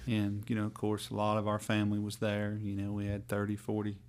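A musician from North Carolina with a Southern accent, telling stories in a folksy, rambling way during a hotel-room interview. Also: male voice, lowish voice, monotone, darkish tone, clean tone. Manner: rambling, folksy, drawn-out phrasing. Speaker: bluegrass musician guest. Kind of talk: casual storytelling interview.

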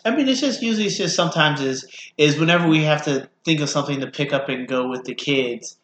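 A man's voice: a little high, fast talker, a bit bright, echoey room, a little hiss or hum. A man from California co-hosting a casual chat, rambling and repeating himself.

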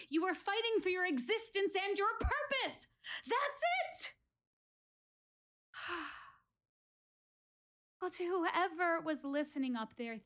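A woman's voice: high-pitched, a bit bright. An actress playing an earnest, lonely, self-questioning woman who prays aloud in a confiding, conversational stage monologue. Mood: encouraged, earnest.

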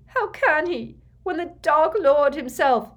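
A woman's voice: sits high, a little slow, muffled recording, voice pushed up. A woman voicing a desperate, tearful aristocratic mother in an audiobook, pleading and sobbing. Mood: desperate, begging. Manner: sobbing, beseeching. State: crying.

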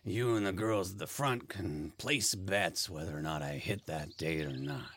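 An actor playing a dying man in hospice in an audio play, wry and resigned, with a halting delivery. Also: deep voice, fairly bright tone, slight background noise. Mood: wry, playful.

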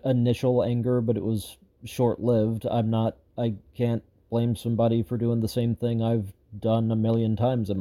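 A man hosting a show gives an unscripted update to viewers, rambling and frustrated, with lots of fillers and restarts, and he sounds slightly hoarse. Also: medium pitch, mellow. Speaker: show host giving an update.

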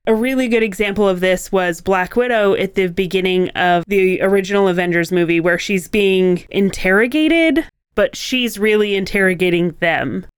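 Clear-voiced woman co-hosting, dry close sound. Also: mid-range voice, moderate pace, no room sound. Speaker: podcast co-host. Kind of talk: conversational podcast discussion of writing craft.

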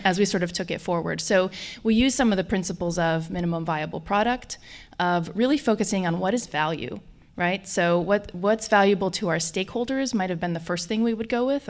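A woman from Colorado, a guest on a live podcast, talking things through thoughtfully and often checking in with "right?". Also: on the low side. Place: conference hall with a live audience.

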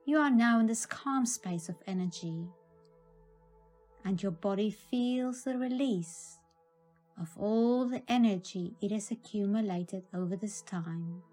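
Slow, soothing woman guiding a meditation. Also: mid-range voice, soft background music. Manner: calm, soothing, measured. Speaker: meditation guide. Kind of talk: scripted guided meditation narration.

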